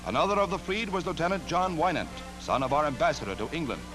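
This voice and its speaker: A man's voice: a little high, fast. An American man narrating a scripted 1940s newsreel in a dramatic, declamatory manner, on an archival soundtrack. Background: loud orchestral newsreel music.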